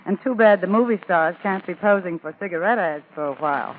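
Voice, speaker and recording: A high-pitched male gossip reporter delivers scripted chat in 1940s radio style. The broadcast is thin and tinny, with faint noise. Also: a little fast.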